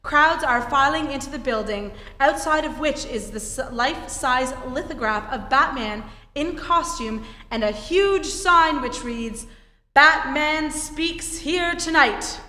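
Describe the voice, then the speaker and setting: A woman's voice: sits high, slow pace, bright, forceful tone. A woman giving dramatic scripted narration in the style of a 1940s radio serial. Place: theatre stage before an audience. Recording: echoey hall, live stage performance.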